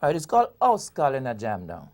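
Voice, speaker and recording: A man with a gravelly, fry-laden voice at a middling pitch talks over his poems and the way people speak. He circles back over his words, repeating and restarting, and brightens when he recites a line. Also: wildly swooping pitch, quick pace. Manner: explains with frequent repetitions and restarts. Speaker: poet and author. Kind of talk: conversational interview.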